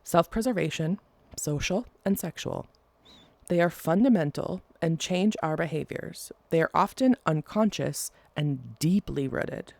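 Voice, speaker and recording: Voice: medium pitch, animated, a little slow, vocal fry, darkish tone, no echo. A podcast host with a congested, nasal voice, talking casually and rambling into playful asides while recording alone at home. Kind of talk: casual solo podcast talk.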